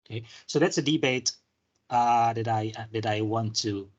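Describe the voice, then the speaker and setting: A man from Indonesia at a middle pitch with a husky, slightly breathy voice gives a relaxed university lecture. He thinks aloud, trails off into fillers and restarts sentences as he explains ideas. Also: normal speed, highs cut off.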